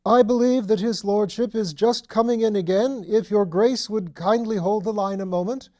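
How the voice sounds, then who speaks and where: A man's voice: sits high, moderate pace, mellow, voice pushed up, close to an everyday voice. Man voicing a stiff, deferential manservant. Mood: formal, deferential. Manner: stiff, throttled, very polite. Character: formal, deferential, well-trained manservant. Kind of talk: audiobook character dialogue.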